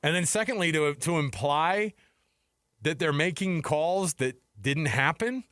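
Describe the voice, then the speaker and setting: A man's voice: fairly high pitch, expressive, a little slow, a bit bright, dry recording, studio quality. A man co-hosting a sports radio show, talking casually and thinking aloud with rhetorical questions. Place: radio studio.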